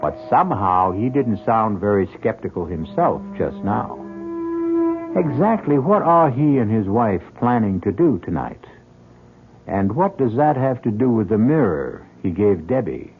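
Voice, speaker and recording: A man hosting a 1970s radio mystery, narrating in an ominous, deliberate, theatrical way. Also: on the low side, very animated. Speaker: host and narrator. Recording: muffled vintage radio recording. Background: music.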